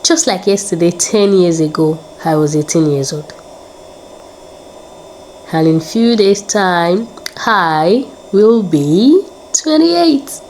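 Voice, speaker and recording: A woman's voice: lowish voice, lively intonation, takes it slowly, a little mellow, a little hiss or hum, poor audio. A woman in her late twenties vlogging in a casual, upbeat way, repeating words and adding prayerful asides. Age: 20s. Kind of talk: casual faith-filled vlog talk.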